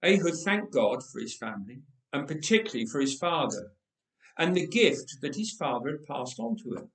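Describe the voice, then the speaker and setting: A man reading a story aloud in a dramatic storytelling style, with deliberate, expressive phrasing and emphatic repetition. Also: a little high. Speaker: story reader. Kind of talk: dramatic read-aloud storytelling of a Bible tale. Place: inside a church. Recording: roomy, echoing church acoustic.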